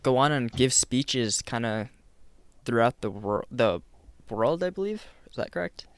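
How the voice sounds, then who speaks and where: A young man hosting a podcast interview in a relaxed, affirming tone, often saying "kinda." His voice is smooth and clear, and the recording is dry and close, with no room sound. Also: mid-range voice, measured pace, dry recording. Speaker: podcast host. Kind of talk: casual interview chat.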